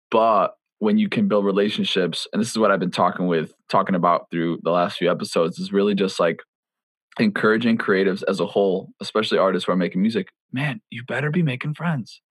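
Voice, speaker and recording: Laid-back young podcast host, low voice. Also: male voice, quick pace, dry recording. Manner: laid-back, chatty, many fillers like "bro" and "you know". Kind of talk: casual podcast interview.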